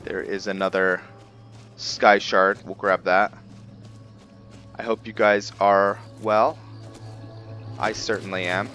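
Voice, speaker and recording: A man giving casual, reflective commentary over a roleplay video game, thinking aloud in a halting way. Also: fairly low pitch, flat delivery, bright, forceful tone, faint music behind. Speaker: gaming host.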